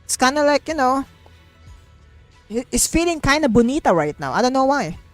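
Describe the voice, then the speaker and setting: A woman's voice: high voice, very animated, moderate pace, dry recording, soft background music. A woman livestreaming a game, chatting casually in a rambling, repetitive, informal way.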